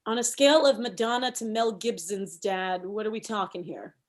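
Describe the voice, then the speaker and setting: A woman's voice: medium pitch, moderate pace, close to an everyday voice. A woman playing a pushy, combative thirty-year-old campaign manager in a comedy table read, arguing emphatically. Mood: brisk scheming. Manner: argumentative, emphatic.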